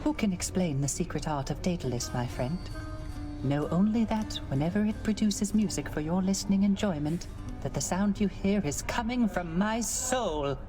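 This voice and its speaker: A woman's voice: fairly low pitch, expressive, normal speed, over music, close to an everyday voice. A woman voicing a dreamy, soulful male court musician in a video game, with florid, theatrical phrasing. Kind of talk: scripted video game dialogue.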